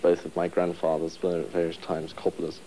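Young male student interviewee, low mellow voice, hesitant, wry, indoor study. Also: monotone, normal speed, slight background noise, poor audio. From England. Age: 20s. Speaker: union president interviewee. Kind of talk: interview answers.

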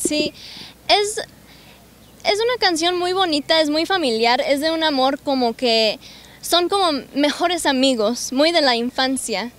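Bubbly young woman with a high voice. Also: expressive, unhurried, a bit bright. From Los Angeles, United States. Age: young adult. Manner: bubbly, eager, slips in English words. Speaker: singer. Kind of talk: interview answers. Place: outdoors in a city park. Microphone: handheld mic held by interviewer.

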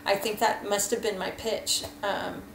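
A woman's voice: mid-range voice, little pitch movement, unhurried, vocal fry, noisy background. A woman in her thirties rambling frankly through a casual gear review at home, full of fillers, recorded on a webcam at her desk. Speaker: hiking vlogger. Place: kitchen at home, indoors. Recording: echoey room, faint ambience.

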